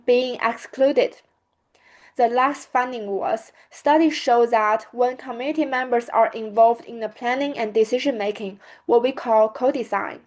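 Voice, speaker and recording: A young woman whose first language is Mandarin Chinese, giving a prepared student presentation in a steady, earnest way with small restarts. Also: fairly high pitch.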